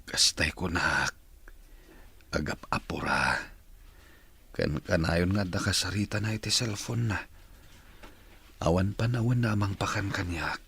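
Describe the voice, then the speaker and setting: A man with a Filipino accent playing an ailing, regretful older father in a radio drama, with a husky, rough voice. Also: low-pitched, a little slow, pauses often, a bit bright, exaggerated voice. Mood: weary, complaining.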